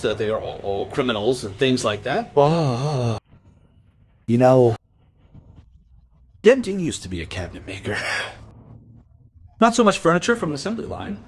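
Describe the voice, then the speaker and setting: An actor playing a gossipy tobacco shop keeper who rambles colloquially in a crime radio play. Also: male voice, medium pitch, slight background noise, close to an everyday voice. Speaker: voice actor in a crime audio drama.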